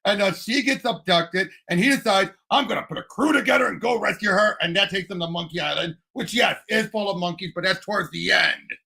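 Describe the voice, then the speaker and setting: High-voiced, gravelly man in casual debate. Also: fairly quick, hardly any pauses, bright, forceful tone. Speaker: podcast co-host. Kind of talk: casual film and game discussion.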